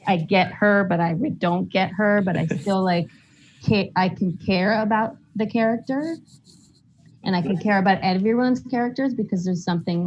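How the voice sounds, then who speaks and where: A woman from Israel thinking aloud haltingly in a casual group discussion over a video call. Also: on the low side, a little mellow. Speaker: workshop participant. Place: indoor room, video call. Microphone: computer mic on video call. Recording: muffled, noisy video call audio.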